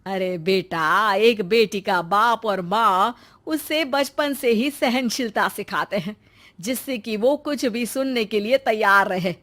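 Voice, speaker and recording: A woman's voice: medium pitch, slow pace, fairly bright tone. A woman voicing a scolding, sarcastic, domineering mother-in-law in a cartoon story, with a bossy, dramatic delivery. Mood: bossy, scheming. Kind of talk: cartoon moral-story acting.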